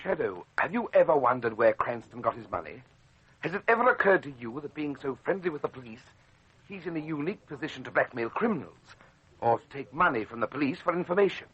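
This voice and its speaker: An actor playing a devious, smooth-talking gang boss in an old Australian radio drama, husky, emphatic and melodramatic. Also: male voice, on the high side, expressive, quick pace, a bit bright. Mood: earnest, insinuating. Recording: muffled lo-fi vintage radio recording.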